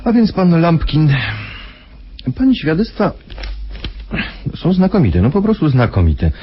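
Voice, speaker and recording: An actor playing a grave, smoothly persuasive husband who speaks haltingly with weighty pauses in a radio play. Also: male voice, fairly high pitch, wildly swooping pitch, lo-fi sound, soft background music, exaggerated voice. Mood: grave, measured.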